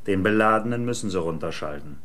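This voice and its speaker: Stern, dry policeman, lowish voice. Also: expressive, quick pace, fairly bright tone. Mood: dry, insistent. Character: stern but familiar village policeman. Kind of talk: German radio crime drama acting.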